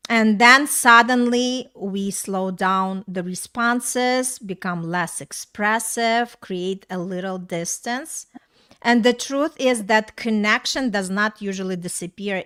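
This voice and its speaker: A woman hosting a relationship talk show, speaking in a reflective, steady way and slowing down to stress her points. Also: medium pitch, smooth. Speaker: podcast host.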